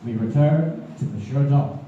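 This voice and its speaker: A woman's voice: very deep voice, moderate pace, mellow, highs cut off. A woman reading scripted sponsor announcements in a polished, promotional manner. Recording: echoey theater from far off, faint noise.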